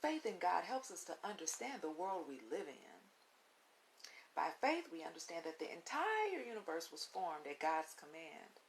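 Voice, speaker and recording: A woman reading a children's book aloud as a storytime host, with deliberate, instructive phrasing and emphatic pauses, on a recording with audible hiss. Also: fairly low pitch, sing-song, gravelly. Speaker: read-along storytime host. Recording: audible hiss and background noise.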